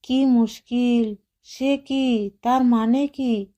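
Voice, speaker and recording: A woman reader voicing a nervous, polite gentleman neighbour, courteous and protesting, in an audio story. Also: a little high, steady tone, drawn-out words. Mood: flustered surprise.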